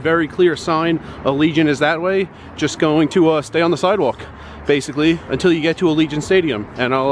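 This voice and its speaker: A man in his thirties giving casual step-by-step walking directions, filmed on a handheld camera held at arm's length. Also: fairly high pitch. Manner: plain, instructive, pointing the way while walking. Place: indoor casino halls and an outdoor sidewalk by a highway. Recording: traffic noise behind.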